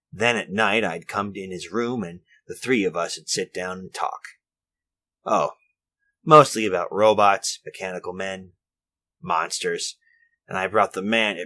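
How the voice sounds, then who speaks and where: A man playing an uneasy, formal inventor in a retro radio drama, speaking in a halting, protesting, confessional way. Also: sits low, bright, forceful tone, full character voice. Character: uneasy, formal male inventor confessing his story to a listener.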